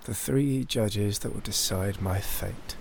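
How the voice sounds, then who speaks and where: Cunning exiled lord telling his fantasy story, deepish voice, sardonic n bitter, noisy ambience behind. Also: slow pace, vocal fry. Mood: wry, brooding. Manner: theatrical, literary, sardonic storytelling. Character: cunning, disgraced young noble heir on trial, wry and bitter. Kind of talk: first-person narration in a high fantasy audio drama.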